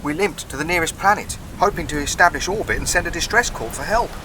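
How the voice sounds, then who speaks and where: Higher-pitched pleading male spacer played by an actor, noisy rain. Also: expressive, fast, close to an everyday voice. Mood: shaken. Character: hapless, wheedling male castaway spacer. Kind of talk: audio drama acting. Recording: noisy rain and storm effects throughout.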